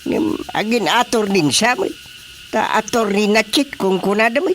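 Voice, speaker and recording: Excitable Ilocano village chairman, higher-pitched. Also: normal speed, a little hiss or hum. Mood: chatty, amused. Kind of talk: radio comedy drama acting.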